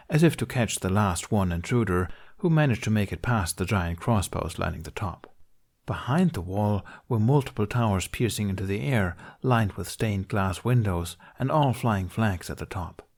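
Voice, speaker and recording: A man's voice: on the low side, darkish tone. A man reading a fantasy story aloud as a scripted audiobook-style narration. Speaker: podfic narrator reading fan fiction.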